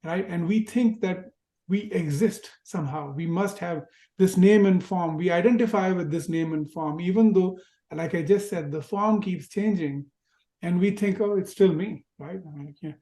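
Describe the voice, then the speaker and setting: Indian-accented male teacher, mellow and a touch high, lecturing gently in a roomy echo on a video call. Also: normal speed. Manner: reflective, gentle, with rhetorical questions. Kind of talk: spiritual lecture to an online class.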